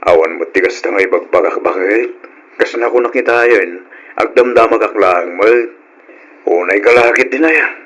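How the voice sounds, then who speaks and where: Troubled, apologetic Ilocano husband. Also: male voice, fairly low pitch, normal speed, a bit bright, dull audio. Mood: apologetic, defensive. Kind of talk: old Ilocano radio drama acting. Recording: old radio drama sound.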